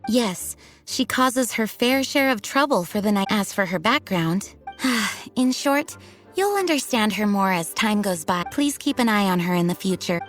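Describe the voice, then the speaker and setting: A woman playing a stern but caring young knight commander in a video game, speaking formally and with measured authority. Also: mid-range voice, animated, faint music behind, lightly acted. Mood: weary, fond.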